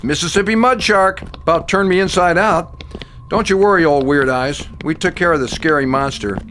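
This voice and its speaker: A man's voice: on the high side, normal speed, a bit bright, a little hiss or hum, close to an everyday voice. A man playing a seasoned older monster hunter in an audio drama, folksy, teasing and commanding. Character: gruff, seasoned old male monster hunter, sardonic and bossy.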